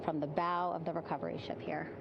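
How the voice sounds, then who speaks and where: A woman's voice: sits low, flat delivery, fast, muffled recording. A woman giving live event commentary from aboard a ship at sea. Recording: loud vehicle-like ambient noise.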